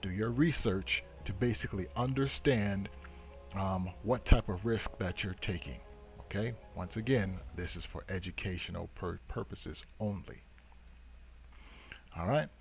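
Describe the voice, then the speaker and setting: A man with a fairly low voice gives unscripted market commentary as the host of his channel. He talks very slowly and deliberately, halting between phrases. Music plays faintly behind him. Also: creaky.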